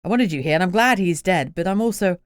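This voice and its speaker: A woman voicing a gruff, grieving young man. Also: lowish voice, expressive, fast, no echo, studio quality, lightly acted. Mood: anguished. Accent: British. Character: grieving, angry young man, werewolf pack member.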